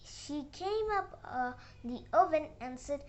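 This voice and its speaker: A child narrating a moral fairy tale with halting, uneven phrasing and dramatic emphasis on the dialogue. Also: high voice, lively intonation. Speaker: storyteller.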